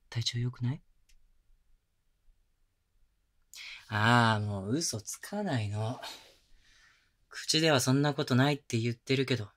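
A man playing a gentle, doting young boyfriend in an intimate ASMR audio drama, speaking casually with tender, coaxing chiding. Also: mid-range voice, lightly acted. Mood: concerned, coaxing. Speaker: voice actor. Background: music.

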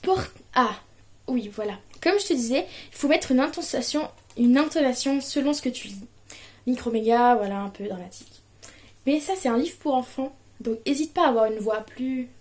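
A young woman in her twenties recording a casual diction tutorial at home, chatting and reading children's book blurbs aloud with lively intonation. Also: on the high side, normal speed. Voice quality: muffled, as if nasal, from a pen held in her mouth. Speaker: vlogger. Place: small living room at home, indoors.

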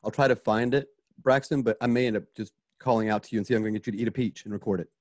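Male director-narrator, informal and conversational, fast delivery, lowish voice, dry close mic. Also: fairly even intonation, darkish tone, dry recording. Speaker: director reading stage directions. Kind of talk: casual narration and direction of radio play.

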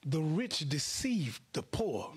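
A man's voice: a little high, big pitch swings, takes it slowly, breathy edge. A middle-aged American man preaching a sermon, emphatic and colloquial, repeating phrases, speaking into a handheld microphone in a church. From Chicago and Houston, United States. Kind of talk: sermon to a congregation. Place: indoor church stage at a lectern.

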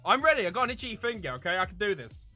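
A man doing excitable live gameplay commentary, exclaiming theatrically and talking to his chat. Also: sits high, very fast. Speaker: video game streamer. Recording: game music behind.

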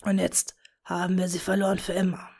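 A woman voicing a remorseful dark wizard father, speaking softly in a broken whisper. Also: medium pitch, fairly even intonation, moderate pace, creaky. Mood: mournful. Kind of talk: fan fiction reading.

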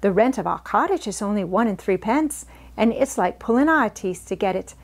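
A woman's voice: medium pitch, expressive, moderate pace. A woman voicing a chatty, good-hearted young housemaid with folksy dialect grammar, in a storybook. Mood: excited, helpful.

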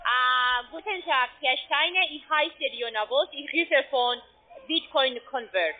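Woman on a tinny phone line, high voice, foreign accent, really halting call center talk. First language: not German. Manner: very halting, broken phrasing. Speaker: call-centre agent.

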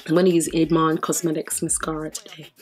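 A middle-aged woman from Nigeria with a British accent and a hoarse voice, chatting casually through a makeup tutorial in her sitting room. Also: low voice, level tone, fairly quick, darkish tone. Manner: chatty, breezy, thinking aloud while working. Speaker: makeup vlogger. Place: sitting room in front of a sequin backdrop.

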